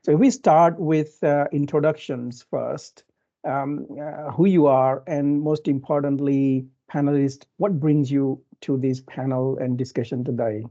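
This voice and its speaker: Mellow-voiced man moderating a panel. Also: medium pitch, steady tone, measured pace, muffled recording. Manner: polite, hesitant, many fillers. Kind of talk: hosting a webinar panel.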